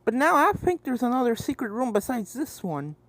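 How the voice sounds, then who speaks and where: A reader voicing an excitable young filly ghost hunter, a cartoon pony in a fan fiction reading. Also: mid-range voice, a little fast, a little hiss or hum, pitched above the performer's own voice. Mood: earnest, questioning. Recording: game music behind the voice.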